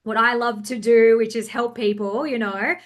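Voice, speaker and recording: A young adult woman speaking as a podcast guest in casual chat, passionate and energetic, swearing freely as she talks. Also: on the high side, moderate pace.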